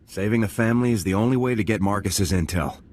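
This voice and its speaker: An actor playing an earnest, principled military lieutenant in a video game, speaking concisely and firmly. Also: male voice, fairly low pitch, quick pace, a little mellow, lightly acted. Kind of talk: video game character dialogue.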